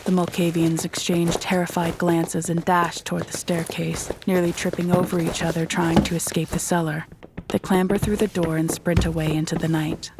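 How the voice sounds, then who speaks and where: A woman narrates a horror audio drama from a script. Her voice is fairly low and stays very even while telling dramatic scenes, over faint background noise. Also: normal speed.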